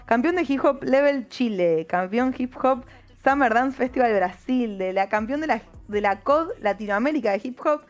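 A young woman with an Argentine accent hosts a relaxed studio interview, talking chattily with plenty of fillers. Her voice sits a little high, and faint music runs beneath her handheld mic. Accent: Argentine Spanish. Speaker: host. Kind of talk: casual interview. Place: TV studio with red couch. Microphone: handheld microphone.

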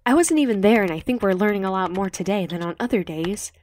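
A woman's voice: mid-range voice, expressive, fast, darkish tone, lightly acted. A woman voicing a bold, sarcastic teenage schoolgirl with an emphatic, sassy delivery for an audiobook. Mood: sassy, defiant. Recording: slightly noisy home recording.

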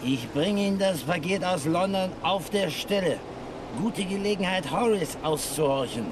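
An actor playing a boastful, tipsy, frustrated would-be writer in a radio play, rambling and grumbling. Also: male voice, sits high, expressive, moderate pace, noisy background. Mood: defiant. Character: boozy, boastful, frustrated male would-be crime novelist. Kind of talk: German radio play acting.

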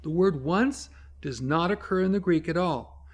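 A man in his fifties teaching from the Bible to camera in an emphatic, explanatory preaching style, with dramatic pauses. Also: on the high side.

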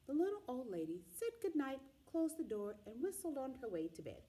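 A middle-aged woman reading a children's picture book aloud in an expressive, dramatic way, drawing out the sound words. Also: sits high, brisk, noisy background. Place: small indoor room with wall cards and pillows.

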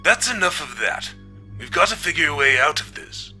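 Man acting a high, indignant spaceship captain. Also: very animated, normal speed, bright, forceful tone, husky, soft background music, full character voice. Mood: stern. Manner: commanding, indignant. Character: stern male spaceship captain losing his authority.

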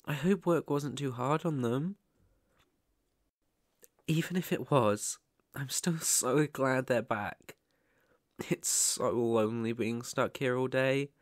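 A young British man voicing a shy, lonely but eager ghost in an ASMR roleplay, stammering, gentle and apologetic. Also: mid-range voice, slow pace, pauses often, dry recording, clean studio sound, close to an everyday voice. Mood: wistful, soft.

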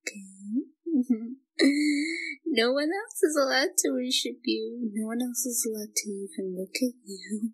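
A young woman playing a shy, obsessive yandere student kidnapper in an intimate audio roleplay, breathy and halting, clingy yet menacing. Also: on the high side, exaggerated voice, rough recording. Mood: adoring, possessive.

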